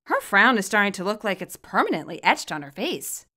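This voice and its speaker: A woman reading her own fan fiction aloud as expressive, scripted story narration. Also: medium pitch, fairly quick.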